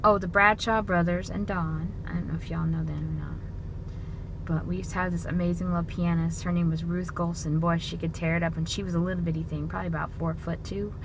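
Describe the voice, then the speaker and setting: This is a woman, her voice on the lower side. She rambles in a folksy, easygoing way, with plenty of ums and uhs. She records on a phone in a car, and clear engine and road noise runs under her voice. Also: fairly even intonation, a little fast. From Illinois, USA. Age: 40s. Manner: chatty, folksy, rambling with many fillers. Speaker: vlogger. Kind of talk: casual reminiscing monologue. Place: parked car. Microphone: phone camera inside the car.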